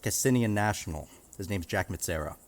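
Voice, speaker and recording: A man acting out a callous federal agent with a low, deep voice. He speaks in a cold, flat, dismissive way and sounds somewhat distant from the mic. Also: a little fast, close to an everyday voice.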